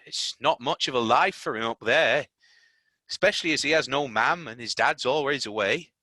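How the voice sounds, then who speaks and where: A storyteller voicing a gentle, patient country boy who speaks in a soft, reasoning way. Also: medium pitch, normal speed, dry recording, voice pushed up. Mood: coaxing.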